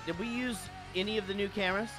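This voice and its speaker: A man hosting a live-streamed show, chatting casually in a rambling, excitable way with plenty of swearing. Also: high voice, quick pace, over music, rough recording. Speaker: podcast host.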